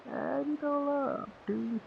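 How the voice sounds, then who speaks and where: A game streamer giving casual, slangy live commentary in halting, muttered reactions. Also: sits high, fairly even intonation, vocal fry, narrow, tinny audio, a little hiss or hum. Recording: loud game music and effects behind.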